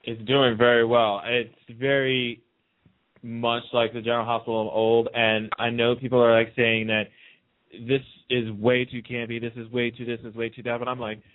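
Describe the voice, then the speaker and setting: A man's voice: mid-range voice, flat delivery, normal speed. A man appearing as a guest on a radio show, chatting casually and agreeably over a phone line. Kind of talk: casual chat. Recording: narrow phone-line audio.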